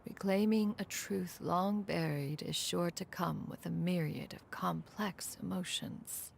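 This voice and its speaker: A stately, aloof immortal sage in a video game scene. She speaks slowly and loftily in archaic phrases, her lowish voice edged with heavy creak. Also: steady tone, a little hiss or hum. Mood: grave. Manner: lofty, archaic, measured. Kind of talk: dramatic video game voice acting.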